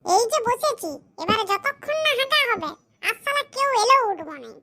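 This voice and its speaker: A performer voicing a crude, self-pitying village boy who grumbles in rustic slang in a comedy cartoon, with a pitched-up voice. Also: sing-song, normal speed, sharp tone, heavily acted. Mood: defiant. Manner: muttering complaints in rustic slang.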